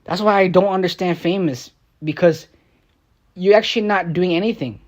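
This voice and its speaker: A young man, a streamer and rapper, ranting on a live stream in a rambling, profane, frustrated way. He drops into low mutters and then bursts out loud. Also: moderate pace, dull audio. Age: young adult. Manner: swings between low mutters and raised outbursts.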